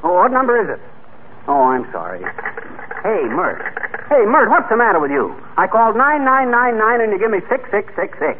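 A man's voice: high-pitched, big pitch swings, a little fast. A man playing a cocky, stubborn, folksy husband in old-time radio comedy, slangy and full of wisecracks. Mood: folksy, bustling. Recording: tinny, noisy vintage radio recording.

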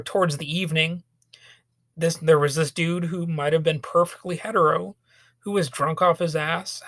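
Slightly hoarse man ranting sarcastically. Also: on the high side, a bit bright, dry recording. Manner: sarcastic, ranting, with frequent fillers and restarts. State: slightly hoarse, getting over a cold. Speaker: podcast host. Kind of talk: solo opinion podcast monologue.

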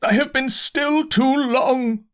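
A man voicing an insane curate in an audiobook, crying out religious doom in drawn-out, declamatory wails. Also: very high-pitched, steady tone, voice pushed up. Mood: frenzied prophesying. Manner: wailing, declamatory, drawn-out preaching cries. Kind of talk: dramatised audiobook character.

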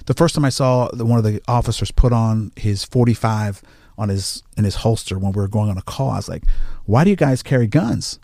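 A man's voice: on the low side, brisk, creaky, no echo, clean studio sound. A man, a firefighter, telling stories in casual conversation and acting out what people said. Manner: animated, acts out quoted lines. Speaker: firefighter guest.

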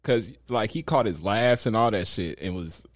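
A man's voice: fairly low pitch, lively intonation, normal speed, dry recording, studio quality. A young man chatting casually in a laid-back, agreeable way with emphatic praise. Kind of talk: casual podcast chat.

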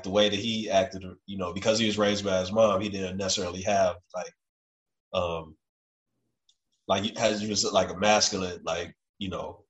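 A man interviewing in a casual, conversational way, halting often and leaning on filler words. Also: sits low, fairly bright tone.

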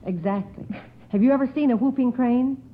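Lady quiz host on old radio, highish voice, polite n formal, kinda muffled recording. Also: fairly quick. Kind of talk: scripted radio quiz hosting.

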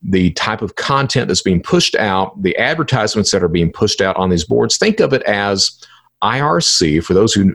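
A man with a fairly low voice that swings widely in pitch as he delivers a solo explainer. He is emphatic, circling back to repeat key phrases and asking questions that he then answers himself. His tone has a rough, husky edge. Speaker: podcast host explaining cybercrime.

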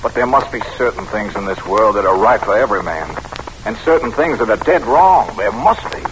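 An actor playing a troubled, proud young captain, stiff and intense, in an old-time radio drama. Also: male voice, on the low side, lively intonation, a little fast, close to an everyday voice. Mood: troubled, searching. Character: wounded young Confederate captain, bitter, proud, troubled, earnest. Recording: old radio recording, faint noise.

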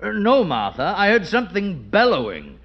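An actor playing a dry, sarcastic middle-aged husband in a 1940s radio comedy. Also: male voice, high-pitched, huge pitch swings, normal speed, full character voice. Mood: sardonic. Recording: noisy, dull 1940s radio broadcast.

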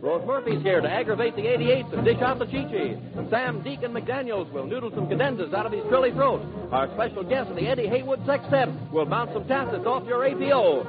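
A very high-voiced man announces in showy 1940s jive slang. The old radio recording is thin and tinny, with loud music behind him. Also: lively intonation, fairly quick, few pauses.

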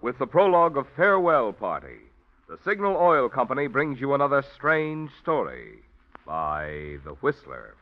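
A smooth, brisk 1940s radio announcer, a man whose voice swings up and down lively as he introduces the program. The recording is dull and muffled, like an old broadcast. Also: mid-range voice, soft background music.

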